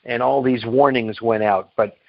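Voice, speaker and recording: A man's voice: medium pitch, steady tone, moderate pace. A man giving an emphatic promotional sales presentation as a company executive, repeating phrases and answering his own rhetorical questions. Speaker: company chief executive pitching on a conference call. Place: conference call. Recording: dull, lo-fi conference call recording.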